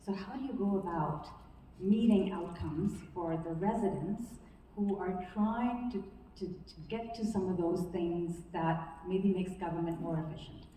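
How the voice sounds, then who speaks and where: A woman on a panel discussion, speaking fluently and stacking her points in quick lists. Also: on the low side, dark tone, a little hiss or hum. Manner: fluent, listing points in a run. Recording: echoey hall, distant mic.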